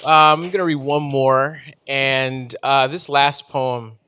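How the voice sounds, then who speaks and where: A man from New City, performing spoken-word poetry live in an animated, playful way and talking directly to his audience. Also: medium pitch, slow pace, a little hiss or hum. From New York City, United States. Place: live event before an audience.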